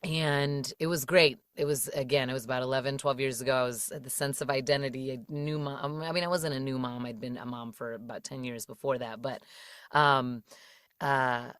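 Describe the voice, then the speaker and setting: Low-voiced woman, chatty guest. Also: steady tone, moderate pace, fairly bright tone, clear, resonant tone, no echo, studio quality. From Washington DC area. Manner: chatty, laughs often, many fillers. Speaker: podcast guest, voice actor. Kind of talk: casual interview chat.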